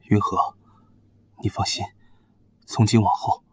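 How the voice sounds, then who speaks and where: An actor playing a calm, tender husband who is secretly a god, speaking softly and reassuringly. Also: male voice, measured pace, in a whisper, natural voice. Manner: soft, low, reassuring.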